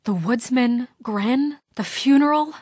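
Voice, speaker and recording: A woman's voice: fairly high pitch, slow pace, a little mellow, no room sound, clean studio sound. A woman playing a principled young deputy mayor in a story video game, speaking with earnest, firm exasperation in naturalistic dramatic dialogue. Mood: frustrated, reproachful.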